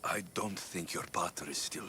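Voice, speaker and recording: An actor playing a steady mercenary hero who speaks calmly and bluntly in a game cutscene. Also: male voice, sits low, normal speed, slight background noise. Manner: calm, blunt. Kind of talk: video game cutscene acting.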